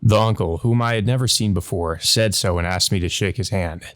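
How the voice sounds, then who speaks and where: A man's voice: lowish voice, fairly quick. A man narrating a visual novel as a let's play host, reading aloud and adding hesitant commentary of his own.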